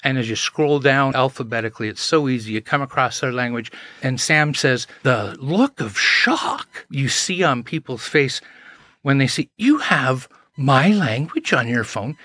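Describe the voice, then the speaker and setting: A man's voice: medium pitch, lively intonation, measured pace, sharp tone, no echo. A man, a podcast guest telling anecdotes in an earnest, self-deprecating way. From Canada. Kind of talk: casual chat, storytelling.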